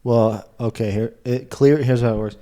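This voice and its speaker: A man's voice: medium pitch, steady tone, moderate pace, dark tone. A man co-hosting a podcast, chatting in a casual, informal and laid-back way. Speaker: podcast co-host. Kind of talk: casual chat.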